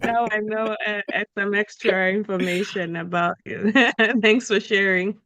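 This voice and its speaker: A woman hosting an interview, reading a measured opening and then posing long, thoughtful questions. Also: mid-range voice, fairly even intonation, a bit bright, a little hiss or hum. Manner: measured, declarative, unhurried. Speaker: host. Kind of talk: interview questions, partly scripted intro.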